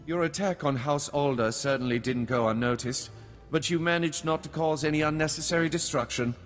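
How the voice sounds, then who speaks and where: An actor playing a shrewd, formal nobleman in a video game, speaking in a measured, aristocratic and calculating way. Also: on the high side, lightly acted. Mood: cool, businesslike. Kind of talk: video game dialogue acting. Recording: long hall reverb, music behind.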